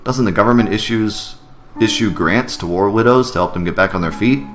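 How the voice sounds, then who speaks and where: A man's voice: lowish voice, fairly quick, lightly acted. A man voicing an inquisitive visiting professional in a video game, asking questions in a matter-of-fact way. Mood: curious. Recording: music behind the voice.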